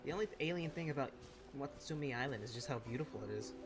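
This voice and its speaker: Very low-voiced woman gamer, quick talker. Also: animated. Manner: reactive, exclamatory. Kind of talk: casual playthrough commentary. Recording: loud game music behind.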